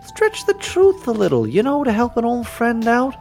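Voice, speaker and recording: A man voicing a pushy, scheming male lawyer who wheedles and coaxes in a raised, lively voice. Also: very high voice, normal speed, vocal fry, faint music behind, pitched above the performer's own voice, full character voice. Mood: wheedling.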